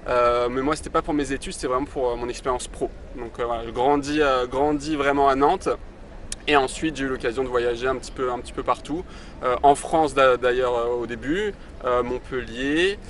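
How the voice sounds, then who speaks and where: A man's voice: mid-range voice, brisk. A man in his twenties answering interview questions outdoors, hesitant and full of fillers and restarts. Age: 20s. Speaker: interview guest, entrepreneur. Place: outdoors by the sea in a city.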